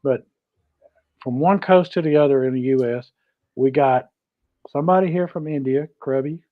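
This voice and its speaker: A man's voice: mid-range voice, moderate pace, dry recording. A middle-aged or older man with a Southern US accent, chatting casually as co-host of a livestream in a folksy way, repeating himself and greeting viewers. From Georgia, United States. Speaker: live show co-host. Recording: dull, dry close audio.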